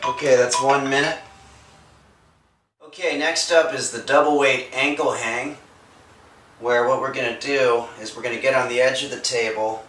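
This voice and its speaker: A young man hosting a home workout parody, explaining each exercise with earnest deadpan delivery and halting restarts. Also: medium pitch, slight background noise. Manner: earnest, trailing off and restarting mid-sentence. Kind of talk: deadpan fitness instruction. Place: small bedroom at home. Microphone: camera mic from across the room. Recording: echoey room, voice a bit far from mic.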